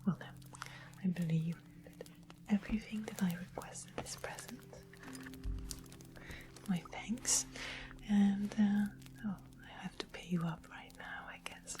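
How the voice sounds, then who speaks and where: A woman playing a sly, sweet-mannered fantasy witch in a whispered ASMR roleplay, soft and breathy, gentle and politely formal. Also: medium pitch, fairly even intonation, slow, pauses often, lightly acted, rough recording. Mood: softly informative. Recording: clear background noise, ambient music.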